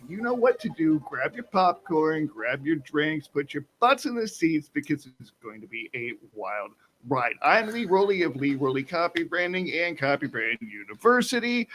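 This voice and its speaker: A man's voice: fairly high pitch, slight background noise, poor audio. A man hosting a live online talk show, opening with hype and then asking his guest questions. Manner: energetic, hype-style intro, conversational questions. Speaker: co-host.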